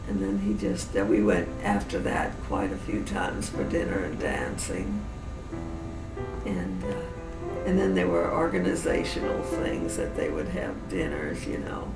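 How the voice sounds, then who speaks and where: Elderly woman, 80s, gravelly, slow, lively pitch, fond storytelling, roomy distant sound, music behind. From New Orleans, USA. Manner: fond, unhurried storytelling with soft chuckles. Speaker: interviewee. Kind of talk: reminiscing family interview.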